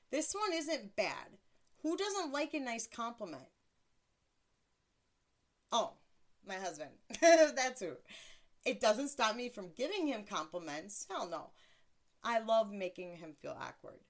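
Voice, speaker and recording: Woman in her thirties, deeper voice, blunt and crude, self-mocking, casual podcast host, pitched a touch high. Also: sing-song, slow pace, long pauses. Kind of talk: casual solo podcast monologue.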